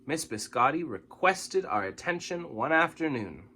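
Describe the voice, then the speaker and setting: A man reads a picture book aloud as a storyteller. His voice is bright and slightly husky, with big swings in pitch. He is expressive and leans hard on key words. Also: a little slow. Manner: expressive, dramatic, with exaggerated emphasis. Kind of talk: animated children's story read-aloud.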